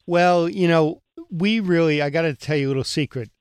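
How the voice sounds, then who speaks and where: A middle-aged male radio host from New York with a fairly high voice, chatty and enthusiastic, rambling loosely between points. His voice sounds dry and close, with no room echo. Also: a little fast, clean tone. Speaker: radio talk show host and priest. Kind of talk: casual radio interview.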